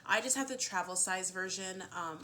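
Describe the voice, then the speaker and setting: A woman's voice: medium pitch, normal speed, sharp tone, slight background noise. A woman vlogging a casual get-ready-with-me chat, a little sniffly, full of fillers like "um" and "like". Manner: chatty, lots of fillers and "like". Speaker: beauty vlogger.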